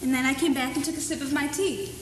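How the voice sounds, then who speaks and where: A woman's voice: high-pitched, fast talker, noisy background, close to an everyday voice. A young woman in a school stage play, playing a fragile grieving mother who halts, repeats herself and drifts into a dreamy sing-song, heard from far off in an echoey hall. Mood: halting. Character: grieving mother Faye, fragile and clinging to a doll. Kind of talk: amateur stage acting. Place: high school auditorium stage. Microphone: distant room mic.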